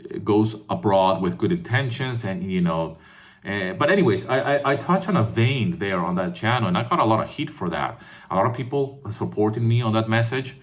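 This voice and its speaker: A man in his fifties from Venezuela, a composer and music educator, talking earnestly in a casual vlog with many restarts and "right?" tags, recorded on a phone. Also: low-pitched. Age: 50s.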